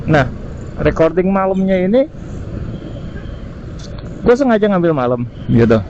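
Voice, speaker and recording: Dull intercom recording, clear vehicle noise, man, medium pitch, casual vlog review, hesitant phrasing. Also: sing-song, measured pace. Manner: hesitant, searching for words, frequent tag words. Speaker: vlogger reviewing gear. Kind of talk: casual unscripted review chat. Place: outdoors on the road at night. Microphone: helmet intercom microphone. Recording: muffled intercom mic with road noise. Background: vehicle and street noise.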